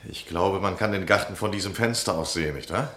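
An American man of letters in a vintage radio drama, with a low-pitched voice. He is courteous and ingratiating on the surface, insistent and scheming underneath. His speech is effusive and theatrical in the 1960s manner. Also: brisk, a bit bright. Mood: courteous, eager. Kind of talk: 1960s German radio play acting.

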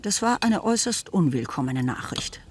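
A woman's voice: lowish voice, sing-song, moderate pace, a little hiss or hum. A woman narrating a literary radio play as scripted storytelling.

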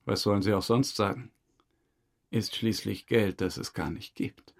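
An actor playing a chummy, self-satisfied murder suspect who breezily dodges questions in a German crime audio drama. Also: male voice, fairly low pitch, steady tone, a little slow, creaky. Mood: unrepentant, confiding. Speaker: suspect under interrogation.

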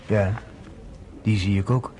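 A man's voice: fairly low pitch, fairly even intonation, takes it slowly, dark tone, slight background noise. An actor playing a curious, wry newspaperman in a radio play, with a casual, probing way of talking. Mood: wry, intrigued. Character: curious, wry newspaperman, sceptical but game.

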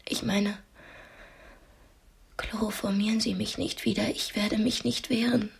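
Breathy, proud young captive. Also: female voice, mid-range voice, flat delivery, measured pace, full character voice. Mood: hushed, grave. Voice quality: breathy, often whispery. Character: proud, cultured young female art student held captive. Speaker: actress in a radio play. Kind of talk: radio drama dialogue and monologue.

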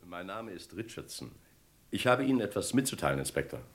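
A man's voice: low voice, sing-song, brisk, gravelly, heavily acted. An actor playing a formal, reserved man calling on a police inspector, in 1960s radio style. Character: male visitor reporting to a Scotland Yard inspector.

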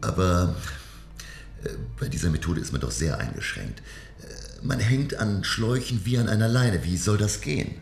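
An actor playing a learned, cautious professor, thoughtful and a little hesitant, with a gravelly voice. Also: male voice, lowish voice, sing-song, normal speed. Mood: doubtful. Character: learned, cautious, awestruck professor aboard a submarine. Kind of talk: German radio play dialogue. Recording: roomy, music behind.

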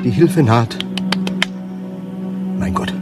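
An actor playing an excitable, self-absorbed male bar patron in a satirical radio play, exclamatory and fussy. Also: a little high, very slow, loud music behind the voice. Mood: flustered.

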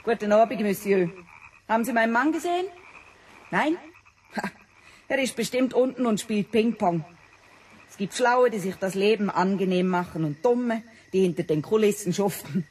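An actress playing a nagging, overworked hotel keeper's wife in a radio play, complaining and sardonic. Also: female voice, mid-range voice, animated, moderate pace, pauses often, darkish tone, slightly rough, recorded from a distance. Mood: exasperated, bitter.

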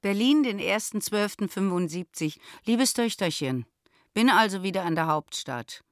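A woman's voice: medium pitch, lively intonation, moderate pace, no echo, clean studio sound, lightly acted. An actress in a radio play as an affectionate, world-weary middle-aged or older mother, reading a letter to her daughter.

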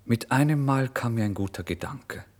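A man's voice: mid-range voice, lively intonation, normal speed, close to an everyday voice. An actor playing a starving, impulsive male writer in a theatrical, changeable first-person literary monologue for radio. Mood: pleading, sheepish. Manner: theatrical, swinging from exclamations to hushed whispers. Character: starving, impulsive male writer recounting his misery and brazen lies.

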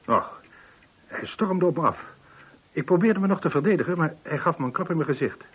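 Curt, husky older gentleman, animated pitch. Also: fairly quick. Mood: tense recounting. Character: wealthy older householder reporting a shooting, curt and composed. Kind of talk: radio play acting. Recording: dull, lo-fi old radio recording.

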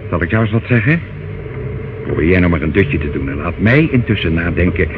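An actor in a Dutch radio play, playing a self-satisfied, menacing older uncle who wheedles and talks down in a hesitant, smooth way. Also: male voice, low voice, lively intonation, fairly quick. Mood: wheedling, evasive. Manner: wheedling, hesitant, condescending, calls listener child. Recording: dull, noisy old radio recording.

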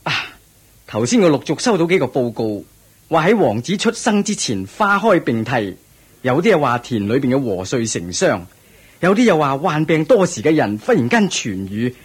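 A man playing a proud, hearty king in a radio drama, exclamatory and expressive. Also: a little high, normal speed, slightly rough. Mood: delighted. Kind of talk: Cantonese radio drama acting.

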